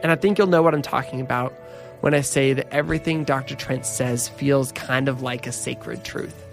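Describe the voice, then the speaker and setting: A man's voice: mid-range voice, steady tone, normal speed, vocal fry, soft background music. A man hosting a podcast, speaking in an effusive, earnest way as he introduces and interviews his guest. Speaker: podcast host.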